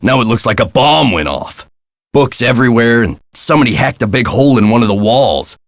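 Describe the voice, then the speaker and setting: An actor playing a gruff, put-upon castle hotel caretaker in a video game, with a folksy, sardonic and exasperated way of talking. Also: male voice, mid-range voice, animated, brisk, no room sound, clean studio sound, natural voice. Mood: wry, matter-of-fact. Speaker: caretaker and front desk clerk. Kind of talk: scripted video game character lines.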